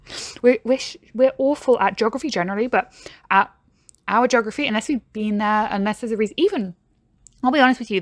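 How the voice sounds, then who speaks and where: A woman's voice: mid-range voice, no room sound, clean studio sound. A British woman hosting a reaction video, chatting casually and laughing often. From Britain. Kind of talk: casual commentary.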